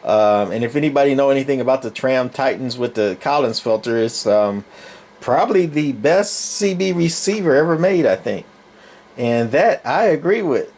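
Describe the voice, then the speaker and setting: A man's voice: mid-range voice, a little mellow. Middle-aged male hobby collector, mid-range voice, casual off-the-cuff narration, folksy and meandering. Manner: rambling, folksy, thinking aloud. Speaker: radio collector showing a collection. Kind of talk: casual, unscripted show-and-tell narration.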